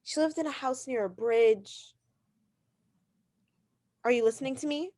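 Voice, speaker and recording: A woman's voice: high-pitched, a little slow, mellow. A woman playing a neurotic, imperious, anxious bedridden wife in a radio drama, insistent and fretful on the phone. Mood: urgent, distressed. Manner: insistent, fretful, stammering when challenged. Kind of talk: 1940s radio drama acting.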